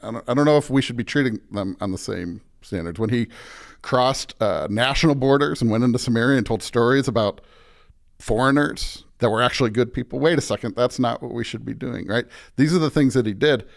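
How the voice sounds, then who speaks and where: A man's voice: medium pitch, brisk, creaky. A man speaking as a pastor and podcast guest, conversational but preaching, emphatic and often checking in with "right?".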